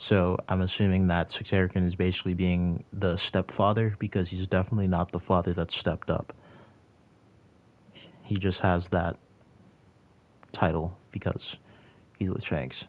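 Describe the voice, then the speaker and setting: A man's voice: low voice, flat delivery, moderate pace, long pauses. A man of about twenty chatting casually on a livestream and answering his chat, rambling with lots of "like" and restarts. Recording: tinny phone-like audio.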